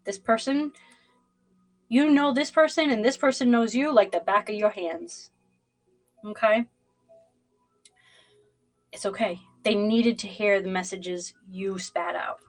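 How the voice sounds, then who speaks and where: Expressive woman giving a live card reading. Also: mid-range voice, measured pace, long pauses, faint music behind. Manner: blunt, emphatic, addresses the listener directly. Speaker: tarot reader hosting a livestream.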